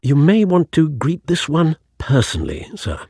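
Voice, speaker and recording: An actor playing a courteous, composed older butler in a video game, speaking formally and deferentially. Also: male voice, on the high side, huge pitch swings, moderate pace, mellow, breathy edge, no echo, clean studio sound, exaggerated voice. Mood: polite.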